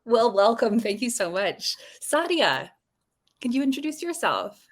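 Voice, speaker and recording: A woman's voice: fairly high pitch, sing-song, measured pace. A woman co-hosting and moderating an author panel in a friendly, slightly hesitant way. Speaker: co-host, moderator.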